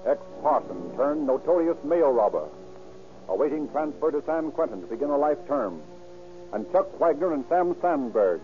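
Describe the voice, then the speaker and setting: A man giving formal, declamatory scripted narration as the narrator of an old radio drama. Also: a little high. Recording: tinny, narrow-band old radio recording.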